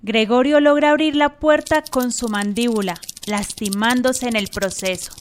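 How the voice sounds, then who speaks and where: A woman's voice: fairly high pitch, moderate pace. A woman giving scripted narration for a radio drama, with rain-like hiss.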